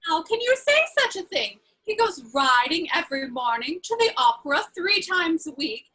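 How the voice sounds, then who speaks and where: Woman playing pert young lady, extremely high-pitched, swooping intonation, playful, period drawing-room comedy. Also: very animated, normal speed, sharp tone, heavily acted, low-quality recording. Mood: pert, gushing. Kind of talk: dramatic reading of a period stage comedy.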